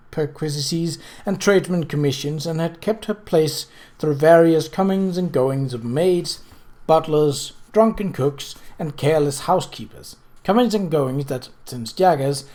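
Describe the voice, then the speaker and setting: A storyteller reading a novel aloud at a medium pitch. The delivery is scripted narration, with occasional slips on words that get read over again. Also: darkish tone. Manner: stumbles over words and rereads them. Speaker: audiobook reader.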